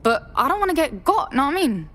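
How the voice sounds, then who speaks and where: A streetwise young Londoner in a game. Her voice is rather high and very animated, blunt and guarded, full of slang. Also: a little fast, full character voice. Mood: wary. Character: streetwise, defiant young female recruit. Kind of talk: video game voice acting.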